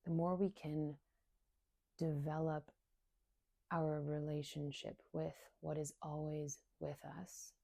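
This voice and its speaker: A woman guides a meditation in a low, mellow voice with very little melodic movement. She speaks in short, soothing phrases with long pauses, and her phrases soften as they end. The delivery is slow and unhurried. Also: level tone, creaky, dry recording. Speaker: meditation guide and somatic coach.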